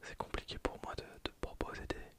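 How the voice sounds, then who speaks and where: A person whispering casual, slangy ASMR commentary over a video game, in breathy, hesitant phrases that trail off. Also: brisk. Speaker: host of an ASMR gameplay channel.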